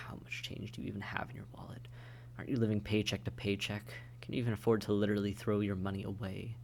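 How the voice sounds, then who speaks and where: A man playing a low, gravelly, courtly vampire. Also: slight background noise, close to an everyday voice. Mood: wry exasperation. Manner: polite, wry, articulate, teasing, with sighs and hushed asides. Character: suave, condescending, courtly male vampire. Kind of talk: intimate audio roleplay acting, addressed to the listener.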